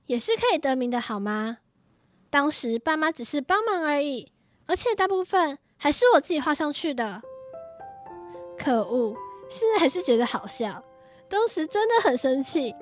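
A teenage girl acting a teasing, dramatic grown-up older sister in a radio drama. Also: sits high. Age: teens.

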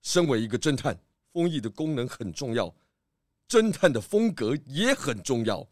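An actor playing a vain, bossy but good-humored detective chief in a children's audio drama, with a blustering, theatrical manner. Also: male voice, mid-range voice, big pitch swings, normal speed, a little mellow, dry recording, clean studio sound, natural voice. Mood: reluctant, self-important. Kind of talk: children's radio drama acting.

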